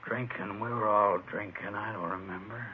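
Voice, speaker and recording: An actor playing a surly, defensive murder suspect in a vintage radio drama, curt and exasperated. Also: male voice, fairly low pitch, fairly even intonation, moderate pace, husky. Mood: defensive, irritated. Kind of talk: 1950s radio drama acting. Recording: dull old radio recording, faint noise.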